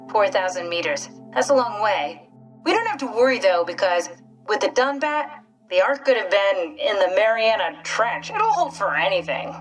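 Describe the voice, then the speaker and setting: A woman's voice: mid-range voice, natural voice, low-quality recording. Actress as pragmatic female scientist, video game drama, wry and matter-of-fact, mid-range voice over music. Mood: upbeat, assured. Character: wry, pragmatic woman scientist living on as a brain scan.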